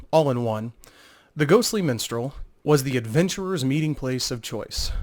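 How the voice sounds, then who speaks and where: A man with a mid-pitched voice serves as narrator of a fantasy tale. He reads scripted prose in a dramatic storytelling style.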